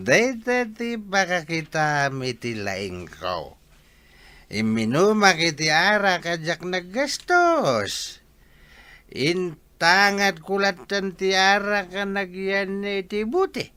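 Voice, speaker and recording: A man acts out a drunken Filipino husband in a radio drama. He is defiant and slurs his words at a slow pace. The voice is fairly high for a man, bright and forceful, swinging widely in pitch. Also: very animated, clear, resonant tone, no room sound, exaggerated voice. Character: drunken husband, slurring and defiant, argumentative drinker.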